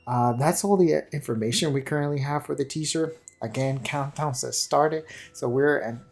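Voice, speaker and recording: A man's voice: fairly high pitch, moderate pace, gravelly. A man giving casual, unscripted game commentary in a rambling, hesitant way, often repeating and correcting himself. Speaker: gaming channel host. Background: background music.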